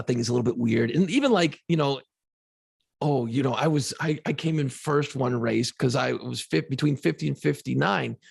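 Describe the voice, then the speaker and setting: Gravelly fifty-something man, lively and profane. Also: fairly high pitch, dry recording, clean studio sound. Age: 50s. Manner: profane, laughing, animated storyteller. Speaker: podcast co-host. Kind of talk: casual chat.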